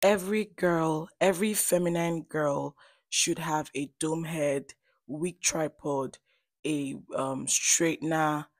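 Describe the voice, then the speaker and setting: Low-voiced woman with a Nigerian Igbo accent. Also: no echo. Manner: playful, emphatic, addresses viewers directly. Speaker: hair stylist and beauty vlogger. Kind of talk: chatty tutorial voice-over to viewers.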